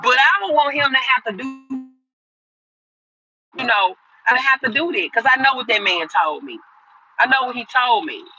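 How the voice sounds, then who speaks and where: A woman's voice: sits high, expressive, moderate pace, pauses often, sharp tone, lo-fi sound, a little hiss or hum. A woman in her fifties hosting a livestream, chatting casually with viewers and answering their comments in a rambling, repetitive way. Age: 50s. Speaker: livestream host.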